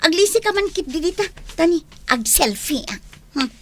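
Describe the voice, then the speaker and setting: A woman's voice: very high voice, very animated, normal speed, no room sound. A woman acting a vain, defiant young phone lover in a radio drama, flippant and pouty with an Ilocano accent.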